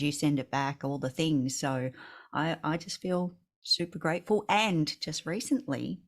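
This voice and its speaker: A woman in her forties leading a casual video call chat, effusive and laughing often. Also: sits low. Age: 40s. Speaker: business mastermind leader.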